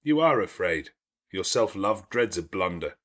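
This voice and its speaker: A brooding, middle-aged gentleman played by a male narrator from a classic novel audiobook. His speech is grand, emphatic and sardonic, with a domineering, teasing edge and a slightly husky tone. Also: low-pitched, big pitch swings, unhurried, heavily acted. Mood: teasing, probing.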